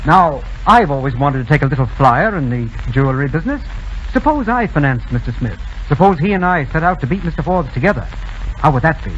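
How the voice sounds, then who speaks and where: A courteous, confident gentleman with a high, animated voice. His manner is suave and polite, in 1930s radio comedy style on a muffled recording. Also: brisk, a little hiss or hum. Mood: assured, genial.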